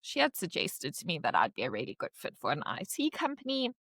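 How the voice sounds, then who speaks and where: A woman's voice: low voice, huge pitch swings, brisk, a bit bright, no room sound, studio quality. A woman in her thirties with a South African accent, chatting casually as a podcast guest, rambling with lots of "like" fillers. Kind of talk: casual interview chat.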